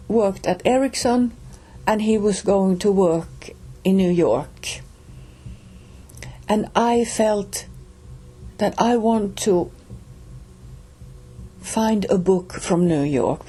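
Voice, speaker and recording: Slow-talking Finnish woman telling a story. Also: mid-range voice, warm tone, breathy edge. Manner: slow, halting, with pauses and restarts. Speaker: reader and storyteller. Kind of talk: personal storytelling.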